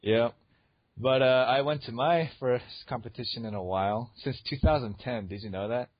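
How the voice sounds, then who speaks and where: A man's voice: mid-range voice, normal speed, dry recording, muffled recording. A man in his twenties co-hosting a podcast in casual, teasing chat. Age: 20s. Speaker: podcast co-host.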